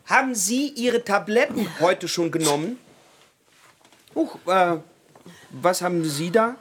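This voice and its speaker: A man's voice: sits high, expressive, takes it slowly, a little hiss or hum. An actor playing a tactless, shameless old man in a radio play, pushy and mock-polite as he pesters people. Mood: nosy, mock-polite.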